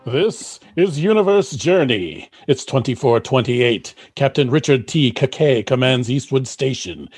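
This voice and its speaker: A man's voice: mid-range voice, darkish tone, no echo. A man narrating a comic sci-fi radio drama in a dry, announcer-like manner.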